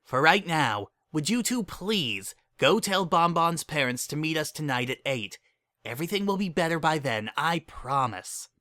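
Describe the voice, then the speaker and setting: A man's voice: fairly high pitch, sing-song, moderate pace, sharp tone, no echo, pitched above the performer's own voice. A man voicing a patient, affectionate female cartoon unicorn who explains things reassuringly. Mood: exasperated, firm.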